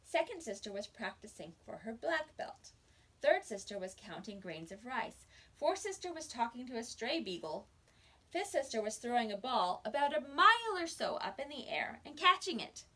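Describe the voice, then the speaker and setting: A woman teacher reading a picture book aloud to young children with expressive storytelling and dramatic pauses. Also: on the high side. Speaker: kindergarten teacher.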